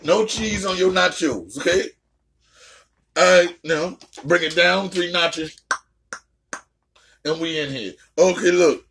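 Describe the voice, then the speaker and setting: A young adult woman hosting a casual reaction chat, talking loud and brash, swearing a lot and trash-talking playfully. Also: on the low side, takes it slowly, long pauses, background noise. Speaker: host.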